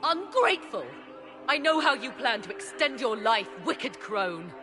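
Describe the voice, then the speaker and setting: A fierce, protective mother witch in a fantasy game. Her formal, clipped words swing widely in pitch, with loud music playing behind her. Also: very animated, a little slow. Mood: defiant, angry. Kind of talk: dramatic video game cutscene acting.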